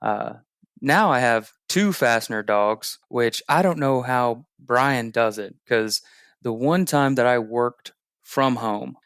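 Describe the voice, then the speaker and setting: A man guest on a podcast, telling stories in a relaxed way with frequent fillers. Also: medium pitch, takes it slowly, clean tone, dry recording, studio quality. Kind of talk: casual conversation.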